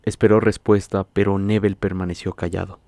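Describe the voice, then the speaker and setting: A man narrating a literary story in a measured, scripted audiobook reading. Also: low-pitched, flat delivery, dark tone, no echo.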